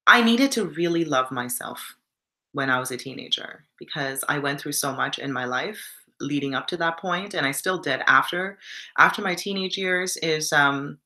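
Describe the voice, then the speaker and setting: A young woman from Canada talks to her live stream viewers in a loose, reflective way. Her voice sits low but has a bright, forward edge, and she keeps stopping to read the chat. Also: normal speed. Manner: warm and reflective, reads chat comments aloud.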